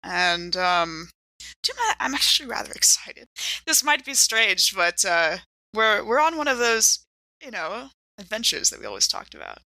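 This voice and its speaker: A woman's voice: medium pitch, very animated, normal speed, bright, forceful tone, dry recording, rough recording. A woman voicing an eager, genteel female adventurer in a polite, musing manner for a tabletop game.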